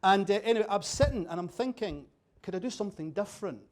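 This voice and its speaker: A man's voice: sits high, expressive, fast. A Scottish man giving a humorous after-dinner talk, chatty and jokey, quizzing the audience. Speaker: keynote speaker. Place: dinner venue with a bar.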